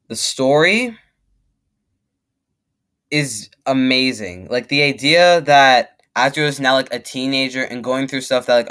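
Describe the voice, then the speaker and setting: A man's voice: mid-range voice, pushed and bright. A young man hosting a reaction video with casual, rambling commentary, emphatic and repeating himself to make a point. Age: young adult.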